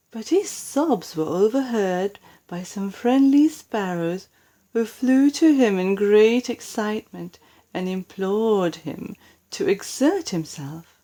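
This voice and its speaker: A woman with a British accent narrating a children's storybook expressively, with deliberate dramatic emphasis on key words. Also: medium pitch, warm tone. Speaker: storybook reader.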